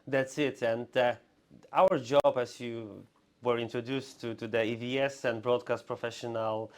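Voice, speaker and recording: A man hosting an interview, speaking haltingly with frequent fillers and restarts. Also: mid-range voice, steady tone. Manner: hesitant, halting, full of "uh". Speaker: host. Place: clinic in Warsaw.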